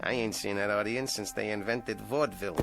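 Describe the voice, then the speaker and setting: Man voicing a gruff, sneering ventriloquist dummy. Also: lowish voice, a little fast, music in the background, voice pushed down. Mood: sarcastic. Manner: sarcastic, needling, gruff. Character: wisecracking sour male ventriloquist dummy.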